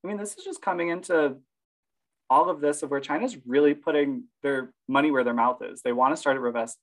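Relaxed man co-hosting a podcast. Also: fairly high pitch, brisk. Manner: relaxed, laughs often, reads quotes from sources. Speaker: podcast co-host. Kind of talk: casual podcast chat.

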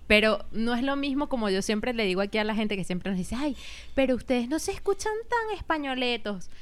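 A woman, chatting casually in a relaxed way. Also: on the high side, brisk, clean tone. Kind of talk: casual chat.

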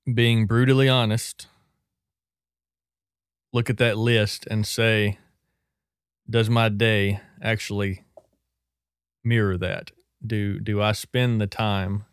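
A man hosting a podcast, leading a reflective discussion in a plain-spoken, measured way. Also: fairly low pitch, clean tone, dry recording, studio quality. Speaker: podcast host.

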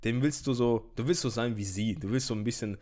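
A man's voice: mid-range voice, quick pace, a little mellow. A man hosting a podcast in loose, slangy casual chat, laughing often as he talks. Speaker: podcast host.

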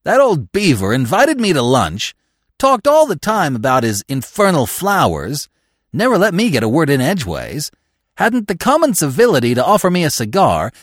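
Blustering, affronted gentleman played by a male narrator, comic audiobook, sarcastic and snappy, swooping upward in pitch, dry sound. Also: no echo, full character voice. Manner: snapping, indignant ranting.

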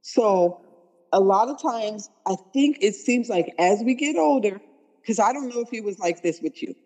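A woman in her forties hosting a dating talk, frank and slangy, coaching with animated, blunt questions. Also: medium pitch, moderate pace, big-room sound, low-quality recording. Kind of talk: casual chat.

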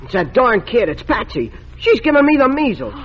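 A man's voice: very high-pitched, huge pitch swings, normal speed, breathy edge, full character voice. A whiny teenage boy. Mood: pleading. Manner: pleading, youthful. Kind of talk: 1940s radio acting. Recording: muffled old radio broadcast.

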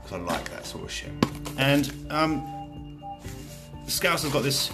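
A man from Britain hosts his own podcast in a loose, rambling chat, often joking at his own expense and swearing freely. His voice sits at an ordinary middle pitch for a man. Music plays clearly underneath him the whole time. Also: sing-song, slow. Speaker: podcast host. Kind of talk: casual solo podcast chat.

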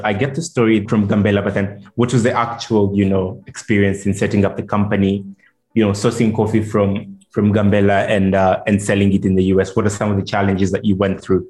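Man, low voice, podcast host, interview questions, faint music behind. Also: darkish tone.

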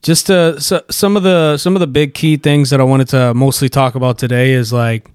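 A man's voice: mid-range voice, fairly even intonation, moderate pace, a little mellow, smooth, no echo, clean studio sound. A man from Canada hosting a podcast in casual chat, rambling and profane, with lots of fillers. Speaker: podcast host.

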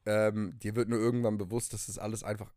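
A man's voice: fairly low pitch, level tone, fast, warm tone, dry recording. Young man, casual podcast chat, dry close sound. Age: young adult. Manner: enthusiastic, slangy with English phrases. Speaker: podcast co-host. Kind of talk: casual conversational storytelling.

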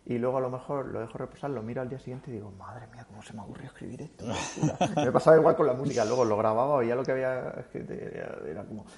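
A man speaking as a guest in a relaxed interview, reflective and rambling, with frequent restarts. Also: medium pitch, very fast, few pauses. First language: Spanish. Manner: thoughtful, rambling with many restarts. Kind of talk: casual interview chat.

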